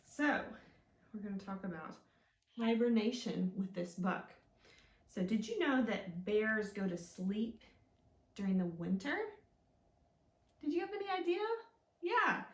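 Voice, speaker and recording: A woman hosting a children's storytime, reading and explaining in a sing-song way with simple, encouraging questions. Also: medium pitch. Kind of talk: read-aloud and teaching for young children. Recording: echoey room, mic at a distance.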